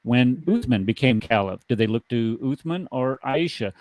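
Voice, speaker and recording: A man's voice: medium pitch, lively intonation, normal speed, a little mellow, no room sound, rough recording. A man in his fifties hosting an online debate panel, pressing his opponent with pointed, challenging questions. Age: 50s.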